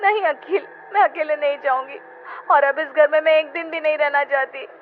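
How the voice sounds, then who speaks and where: A woman's voice: sits high, steady tone, normal speed, a little hiss or hum, close to an everyday voice. A woman playing an anxious, pleading young wife in a horror cartoon. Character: frightened young wife in a haunted house. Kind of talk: dramatized horror story voice acting. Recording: tinny, band-limited audio with music.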